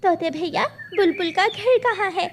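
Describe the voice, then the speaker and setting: A woman's voice: extremely high pitch, unhurried, slight background noise, voice pushed up. A woman voicing a devoted, anxious mother sparrow telling her story earnestly for a children's cartoon. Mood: anxious pleading.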